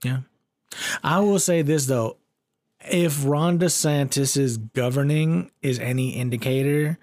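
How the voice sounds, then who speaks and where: A man co-hosting a podcast in casual chat, blunt and jokey, with plenty of swearing. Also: fairly high pitch. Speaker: podcast co-host and poet.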